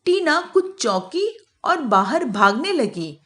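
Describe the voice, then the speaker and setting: A woman's voice: high voice, very animated, slow, fairly bright tone. A woman reading a scripted children's moral story as a storyteller.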